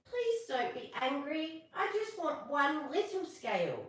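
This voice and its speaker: A woman plays a sweet little fish from a children's storybook. The voice is high and swooping, eager and singsong, pleading like a small child. It rings in a very echoey room. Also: sing-song, measured pace. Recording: very echoey room, distant from mic.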